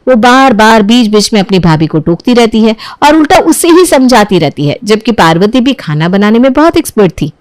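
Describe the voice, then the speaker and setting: A woman narrates a moral bedtime story from a script. Her voice is fairly high, and the recording is close and dry. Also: big pitch swings, fairly quick, hardly any pauses, no echo.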